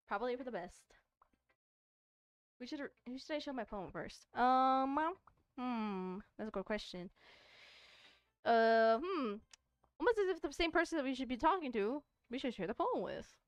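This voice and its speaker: Female game streamer, high register, playful and casual, close dry sound. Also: dry recording. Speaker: streamer playing a visual novel. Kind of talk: casual let's-play commentary, reading game text aloud.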